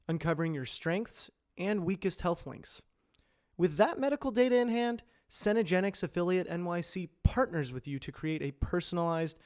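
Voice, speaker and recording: A man reading scripted educational and corporate narration in a measured, clearly enunciated explainer delivery, as an amateur voice actor. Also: a little high, darkish tone.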